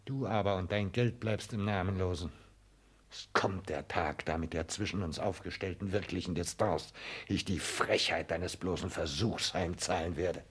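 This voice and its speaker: A man acting a guarded, ambitious male secretary in a 1960s German radio play, speaking formally in measured, clipped phrases. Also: deep voice, fairly even intonation, a bit bright, slightly rough. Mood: bitter, proud.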